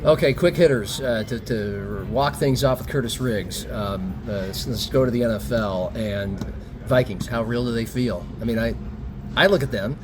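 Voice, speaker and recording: A man's voice: mid-range voice, moderate pace, background noise. A man hosting a sports talk podcast, chatting casually and putting questions to his guest.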